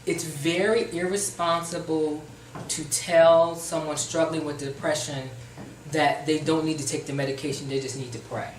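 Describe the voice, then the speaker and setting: A woman therapist appearing as a talk show guest, speaking passionately yet measured about depression and the stigma around it. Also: low voice, steady tone, slight background noise. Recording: roomy sound from a distant mic.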